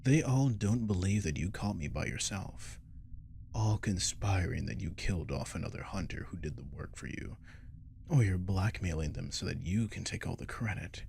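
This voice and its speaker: A man playing a captive werewolf in an ASMR roleplay, seductive, menacing and possessive, speaking in a slow, coaxing, teasing murmur close to the mic. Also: low-pitched, vocal fry, darkish tone, a little hiss or hum. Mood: insinuating. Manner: slow, coaxing, teasing, often dropping to whisper. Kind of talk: ASMR audio roleplay acting. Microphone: close microphone.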